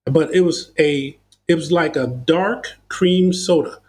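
Brief: American male guest, lively anecdotal style, slightly high voice. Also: slow. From United States. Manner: animated, uses analogies, repeats words when starting thoughts. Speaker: podcast guest. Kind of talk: conversational storytelling.

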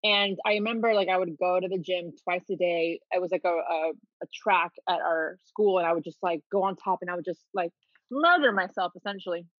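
A woman's voice: fairly low pitch, a little fast, dry recording, dull audio. A woman from the Dominican Republic, raised in Miami, telling her story as a podcast guest in a candid, easygoing way. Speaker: podcast guest, clothing company owner. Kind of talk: casual interview storytelling.

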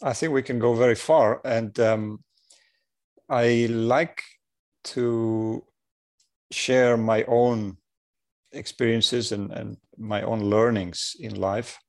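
A man in his sixties, an engineer, talking in a measured, thoughtful way with many pauses, on a remote audio call. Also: mid-range voice, fairly even intonation. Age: 60s. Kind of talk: reflective conversation.

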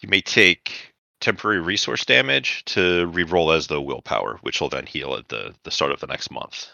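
A man's voice: low-pitched, normal speed, gravelly, sharp tone, dry recording, muffled recording. A man narrating a tabletop roleplaying game as the game master, setting scenes and calling for rolls.

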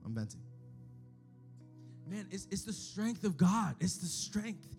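A young man preaching a sermon, moving from hushed, close talk to loud, shouted calls to the crowd. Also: high voice, big pitch swings, slow. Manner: shifts between hushed intimacy and shouted exhortation. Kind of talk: energetic sermon. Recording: faint music behind.

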